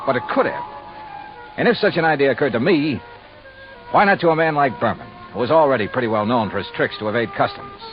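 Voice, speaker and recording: An actor playing a shrewd, hard-boiled insurance investigator in a 1950s radio drama, with a clipped, wry, insistent delivery. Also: male voice, mid-range voice, animated, quick pace, close to an everyday voice. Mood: wry, brisk. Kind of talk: 1950s radio detective narration and acting. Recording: muffled vintage radio recording, music behind.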